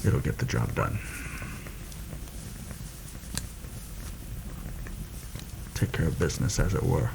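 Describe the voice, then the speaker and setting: Man playing a low, gravelly soft-spoken rebel assassin. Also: lively intonation, heavily acted. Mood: calm, wary. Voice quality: gravelly, creaky. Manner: hushed, measured, conspiratorial. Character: seasoned, wry rebel assassin planning a palace heist. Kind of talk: soft-spoken ASMR roleplay. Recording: noticeable background noise.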